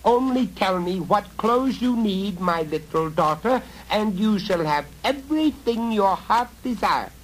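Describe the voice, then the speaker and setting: A man's voice: high voice, expressive, a little slow, hardly any pauses, pitched above the performer's own voice. A man voicing a hideous little male goblin in a storybook, calling out in a commanding, coaxing, theatrical way on an old LP. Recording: noisy vintage LP recording.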